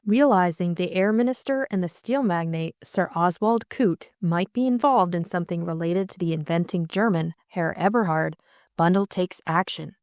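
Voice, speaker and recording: A woman's voice: on the low side, normal speed, a little mellow, dry recording, clean studio sound. A woman reading scripted story narration as an audiobook narrator.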